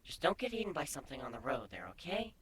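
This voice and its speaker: A man voicing a playful, affectionate female changeling in a fantasy story, with a soft, breathy, coy delivery. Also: a little high, very animated, quick pace, a little hiss or hum.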